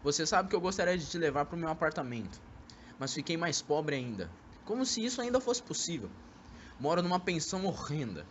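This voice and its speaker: Guy doing a sly young brazilian sweet-talker in a radio play, animated highish voice, noisy amateur recording. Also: fairly quick. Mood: pleading. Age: young adult. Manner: sweet-talking, teasing. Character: jovial, sly, pleading young man wooing his ex-lover. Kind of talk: amateur radio drama acting.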